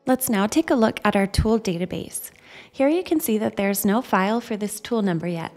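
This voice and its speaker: A woman narrates a scripted software tutorial in a mid-pitched voice. Her delivery is measured and instructional, with music playing faintly behind her. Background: background music.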